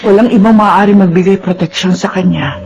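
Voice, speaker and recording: A woman's voice: medium pitch, a little fast, over music, lightly acted. A woman with a Filipino accent playing a caring older mother and grandmother in a radio drama, earnest, firm and admonishing. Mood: reassuring. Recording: dull, lo-fi radio audio.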